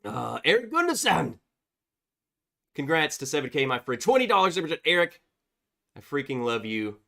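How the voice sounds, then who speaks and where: Congested male streamer, slightly high and very animated, upbeat host chatting with viewers. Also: moderate pace, creaky. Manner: reads viewer chats aloud, chatty and upbeat. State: congested from a cold. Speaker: livestream host. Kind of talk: casual livestream chat.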